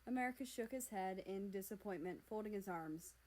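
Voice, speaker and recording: A woman reading fan fiction aloud as an expressive narrator. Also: medium pitch, a little fast, rough recording.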